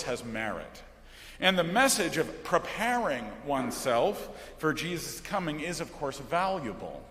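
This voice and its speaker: Male pastor, sermon, lively swooping intonation, reflective, unhurried delivery, long church reverb. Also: a little high. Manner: deliberate, reflective, questioning, with emphatic pauses. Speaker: pastor preaching. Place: large church during worship service.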